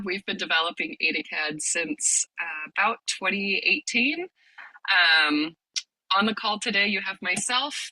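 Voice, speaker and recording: A woman leading an online workshop on a video call, explaining the steps in a friendly way with many ums, a little hoarse from a cold. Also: medium pitch, sharp tone, no room sound, poor audio. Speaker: workshop leader, lab director.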